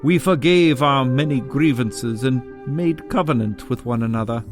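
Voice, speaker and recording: An actor playing a grave storyteller of an exiled people, narrating a dramatic audio prologue with deliberate delivery. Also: male voice, mid-range voice, faint music behind, close to an everyday voice. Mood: resolute. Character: solemn storyteller of an exiled fairy-tale people.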